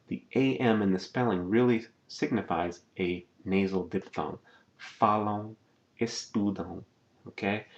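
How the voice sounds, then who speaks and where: A man teaching a language lesson over slides. He talks patiently, says words slowly and keeps checking in with "okay?". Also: deep voice, dull audio.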